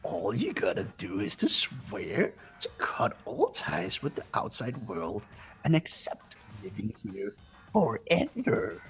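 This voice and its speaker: A performer voicing a sadistic, mocking mechanical bear in a fan-voiced video game, gravelly and theatrical, with taunting cackles. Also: medium pitch, huge pitch swings, slow pace, faint music behind, full character voice. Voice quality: gravelly, raspy. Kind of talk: fan voice acting over a game playthrough.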